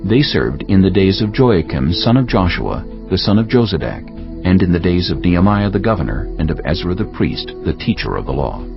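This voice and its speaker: A man reading scripture as an audiobook narrator, in a measured, solemn manner. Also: low-pitched, big pitch swings. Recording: slightly dull audio with music behind. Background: clear background music.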